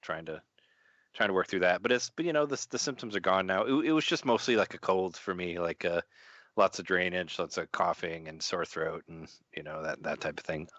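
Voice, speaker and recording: Male podcast guest, low voice, casual and chatty, dry muffled remote audio. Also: a little fast, a bit bright, no echo. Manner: chatty, repeats words as he goes. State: recovering from an illness, voice mostly back.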